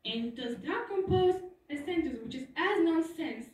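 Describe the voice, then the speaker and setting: A teenage girl gives an earnest school talk, explaining things step by step. Her voice sits high, and the sound rings heavily around a large hall. She is picked up from some distance, off the microphone. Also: expressive, measured pace. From Turkey. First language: Turkish. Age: teens. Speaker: student speaker. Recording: echoey hall, voice from far off.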